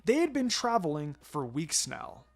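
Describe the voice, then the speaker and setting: A man narrates fiction in an expressive reading style. His intonation moves up and down in a lively way, and music plays behind him. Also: fairly high pitch, unhurried. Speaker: story narrator. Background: background music.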